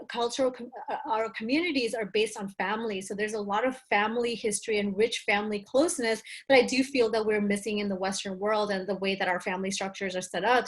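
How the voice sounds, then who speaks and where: A woman from Bangladesh, a wellness teacher answering on a webinar panel over a video call, talking earnestly and thoughtfully with frequent casual fillers. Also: medium pitch.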